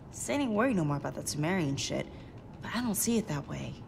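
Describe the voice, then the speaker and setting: A low-voiced American woman, a tough resistance leader from a video game. She gives orders bluntly and conspiratorially, with clear background noise from a ship. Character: tough, no-nonsense female resistance leader briefing a soldier.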